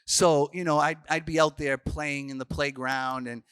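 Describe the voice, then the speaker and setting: A man's voice: fairly high pitch, steady tone, normal speed, fairly bright tone, smooth, no echo. A man, a Franciscan priest telling stories in a casual youth workshop talk, full of restarts and fillers. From Boston, Massachusetts. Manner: chatty storyteller, frequent restarts and fillers.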